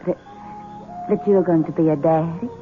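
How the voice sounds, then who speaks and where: A woman's voice: deep voice, fairly even intonation, moderate pace, slight background noise, close to an everyday voice. Coy Southern belle acted by a woman on muffled radio. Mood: coy, coaxing. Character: flirtatious Southern belle, coy and possessive sweetheart. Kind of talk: radio drama acting. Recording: muffled old radio, music behind.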